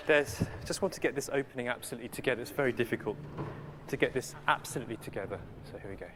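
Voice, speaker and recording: A man's voice: medium pitch, racing, big-room sound, background noise. A male conductor, a native Cantonese speaker, rehearsing an orchestra, counting and singing rhythms aloud with polite requests. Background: orchestra playing.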